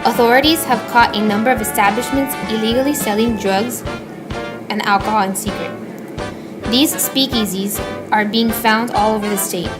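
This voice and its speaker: Female news reader, slightly high pitch, scripted report narration, music bed. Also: steady tone, normal speed, poor audio.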